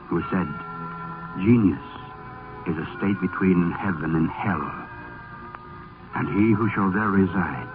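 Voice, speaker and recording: An actor playing a grave, ominous storyteller, narrating solemnly and dramatically in the style of 1950s radio. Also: male voice, low voice. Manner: solemn, portentous, slow dramatic delivery. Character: grave, ominous male storyteller of horror tales. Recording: tinny old radio recording over music.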